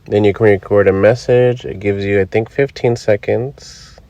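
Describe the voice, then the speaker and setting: A man with a lowish voice narrates a product demo in a relaxed, step-by-step way, dropping in the odd filler. His voice is recorded dry and close, with no room sound. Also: moderate pace, no echo, muffled recording. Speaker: Halloween channel host. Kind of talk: casual product review and demo. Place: indoors, voice-over recorded apart from the footage.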